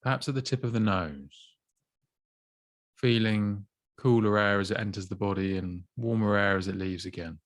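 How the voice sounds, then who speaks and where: A man with a low, calm voice guides a mindfulness body scan. He speaks slowly and gently, leaving long pauses between phrases. The recording is dry and close, with no room sound. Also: dry recording. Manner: slow, gentle, soothing guidance with long pauses. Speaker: mindfulness teacher.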